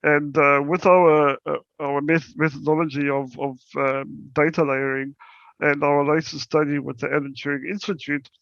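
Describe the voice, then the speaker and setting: A young South African man presents at a conference, stopping and restarting often with plenty of ums. His voice is gravelly and fairly high, held in a flat, even tone. The recording is dry and a bit muffled. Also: no echo. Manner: halting, many fillers and restarts. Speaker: startup presenter.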